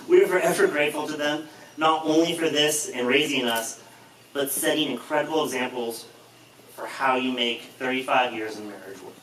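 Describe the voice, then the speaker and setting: A young man in his twenties giving a wedding thank-you speech in a reception hall, dry and joking, with a voice he calls a monotone. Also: medium pitch, moderate pace. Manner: self-described monotone, dry jokes. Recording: roomy hall mic from a distance, loud noise.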